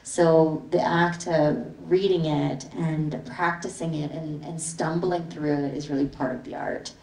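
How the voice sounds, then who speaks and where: A woman with a low voice talks a gathered audience through her paintings, easy and rambling. She grew up in the Philippines. The recording is roomy and picked up from across the space. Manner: relaxed, meandering, many ums and likes. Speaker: artist presenting her work. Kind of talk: casual gallery talk with slides. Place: gallery event space with audience. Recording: echoey hall from far off.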